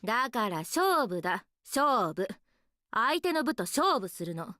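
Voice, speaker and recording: A woman's voice: a little high, big pitch swings, normal speed, sharp tone, a little hiss or hum. An actress playing a blunt, authoritative woman teacher in a Japanese visual novel. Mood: challenging. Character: brisk, blunt woman schoolteacher laying down a challenge. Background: background music.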